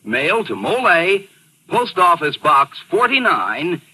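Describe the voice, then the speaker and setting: Bright, forceful male radio pitchman. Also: very animated, slow pace, breathy edge, low-quality recording. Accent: American. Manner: emphatic, upbeat salesmanship. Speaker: commercial announcer. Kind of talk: 1940s radio advertising pitch.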